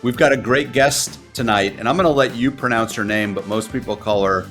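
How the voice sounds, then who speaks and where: A man hosting an interview show in a relaxed, rambling way, openly bad at remembering names. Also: mid-range voice, fairly quick, faint music behind. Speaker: podcast host. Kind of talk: casual chat.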